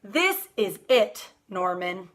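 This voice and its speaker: Woman as little boy, grumbling, reluctant, bright voice, drawn-out emphasis, picture-book storytelling. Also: mid-range voice, big pitch swings. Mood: firm, fed up.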